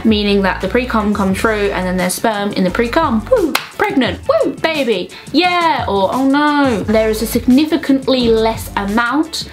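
A young adult woman chatting casually and frankly to camera, animated and jokey as she answers questions. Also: on the high side. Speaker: vlogger answering viewer questions. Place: small bedroom, indoors.

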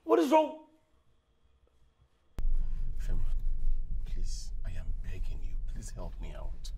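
An actor playing a tired, henpecked husband who begs and stammers. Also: male voice, mid-range voice, sing-song, moderate pace, heavy background noise, natural voice. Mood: begging. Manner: pleading and stammering. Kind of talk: comedy skit acting.

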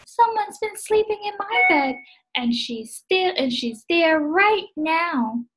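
A woman's voice: extremely high pitch, expressive, slow pace, a bit bright, voice pushed up, full character voice, poor audio. A woman voicing a small, upset baby bear from a storybook, complaining in a whiny way. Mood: alarmed.

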